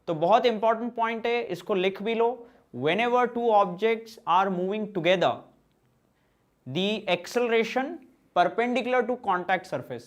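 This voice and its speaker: High-voiced man teaching physics slowly. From central India. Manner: mixes Hindi and English, reads out student questions and answers them. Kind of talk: online class lecture.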